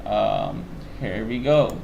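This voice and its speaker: A man's voice: fairly low pitch, wildly swooping pitch, takes it slowly, creaky, dark tone, lo-fi sound, slight background noise. A man hosting a casual interview show, talking in a rambling, self-deprecating way.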